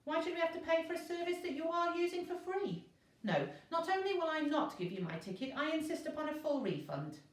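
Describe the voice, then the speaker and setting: A woman's voice: very high-pitched, big pitch swings, pitched above the performer's own voice. A woman voicing a haughty, self-righteous young female constellation spirit in a storybook reading. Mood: indignant, lofty. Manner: brisk, self-righteous, argumentative. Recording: roomy echo, distant microphone.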